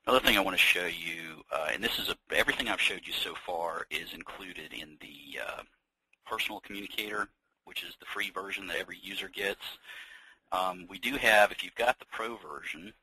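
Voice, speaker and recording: An American man leading an informal software training webinar, explaining things conversationally with practical examples. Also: sits low, fairly quick, husky, no room sound, low-quality recording. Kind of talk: informal software demonstration, unscripted walkthrough.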